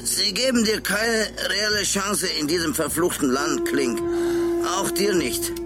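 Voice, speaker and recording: A bitter, taunting man in a radio crime drama. His pitch is high and swings wildly, with loud music behind. He mocks in a drawn-out manner. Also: big pitch swings. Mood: bitter, mocking.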